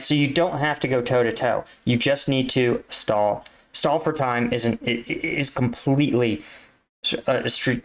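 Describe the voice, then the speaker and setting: A man giving casual reaction commentary, explaining military points step by step and checking in with the listener. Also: medium pitch. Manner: explanatory, often checks in with "right?". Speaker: host, army combat veteran reacting.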